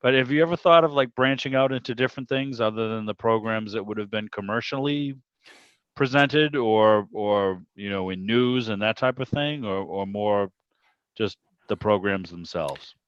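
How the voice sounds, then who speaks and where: Middle aged guy, lowish voice, hosting a casual club video call, bit muffled and roomy. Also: moderate pace, clear, resonant tone. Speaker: host of a club meeting. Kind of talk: informal interview, conversational and reflective. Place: online video meeting.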